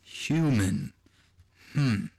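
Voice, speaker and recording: A man playing a cold, condescending machine in a radio drama, speaking deliberately and with an air of superiority. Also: medium pitch, expressive, darkish tone. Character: cold, superior music-making machine with ambitions of control.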